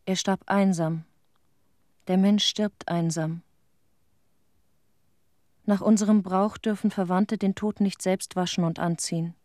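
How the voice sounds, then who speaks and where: Actress doing grieving middle-aged widow, radio play, halting and haunted, mellow voice, no room at all. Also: female voice, lowish voice, no room sound, clean studio sound, lightly acted. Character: grieving widow recalling her husband's death, tender, haunted. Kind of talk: intimate radio play monologue.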